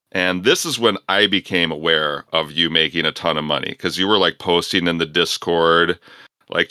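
A man hosting a casual podcast, relaxed as he steers the talk to new topics. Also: low-pitched, no room sound, studio quality. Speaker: podcast host.

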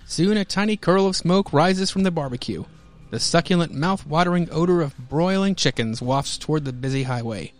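A man's voice: a little high, expressive, moderate pace, soft background music. An American man hosting a podcast, mixing theatrical comic-book narration with casual commentary. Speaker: podcast host.

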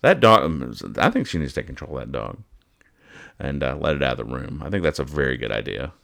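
A man hosting a casual, humorous show, giving halting, mock-earnest commentary as he goes. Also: very deep voice, sing-song, vocal fry, a bit bright. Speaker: host of a comics-reading show.

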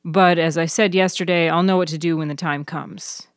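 Woman reading as measured, dryly witty guy. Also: sits low, fairly even intonation, dry recording, studio quality, natural voice. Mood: dry, confident. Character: Tripp, dryly witty, awkward, reassuring male friend.

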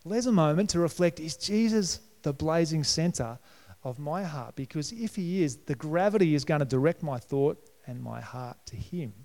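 Gravelly Australian pastor in a reverberant hall. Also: male voice, moderate pace, darkish tone. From New South Wales, Australia. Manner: conversational preaching with sudden lifts in pitch and volume. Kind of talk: sermon. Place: church hall. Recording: long reverb of a church hall.

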